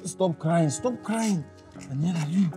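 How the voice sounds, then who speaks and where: A man's voice: a little high, lively intonation, slow, loud music behind the voice. A male actor with a Nigerian accent playing a harried, exasperated father in a screen drama, repeating himself as he pleads and scolds. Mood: flustered soothing. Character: harried, exasperated father struggling alone with his children. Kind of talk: naturalistic screen drama acting.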